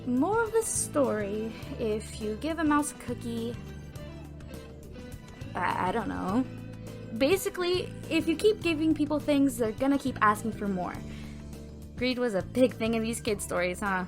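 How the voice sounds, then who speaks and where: Curious young female companion played by a woman, fairly high, casual and wry, audio roleplay over music. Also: animated, moderate pace, natural voice. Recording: music behind the voice.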